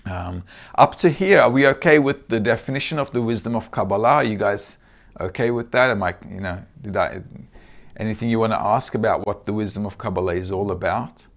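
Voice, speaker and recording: A man's voice: lowish voice, brisk, gravelly. A man with a Turkish accent giving a casual lecture over a video call, often checking in with his listeners. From Turkey.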